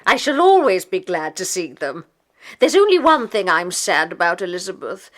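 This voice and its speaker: A woman playing a fond, gentle elderly grandmother in an audiobook dramatization. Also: lowish voice, sing-song, moderate pace. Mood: fond, rueful.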